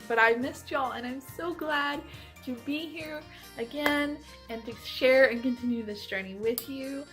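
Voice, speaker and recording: A woman's voice: fairly high pitch, fairly bright tone. A woman talks casually to her viewers as a vlog host. She is effusive and emphatic, stretching words for weight. Faint music plays behind her. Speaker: book vlogger and host.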